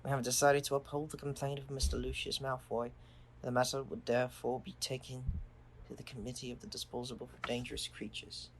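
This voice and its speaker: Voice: mid-range voice, level tone, a little fast, slight background noise, lightly acted, low-quality recording. A performer playing an earnest, loyal schoolboy wizard in an audio drama. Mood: reading gravely.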